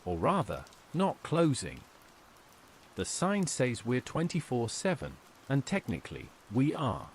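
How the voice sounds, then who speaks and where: A man's voice: mid-range voice, wildly swooping pitch, unhurried, a little hiss or hum. A man voicing a tired, stern older diner waitress in a horror story, giving grave, cryptic instructions. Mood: grave warning.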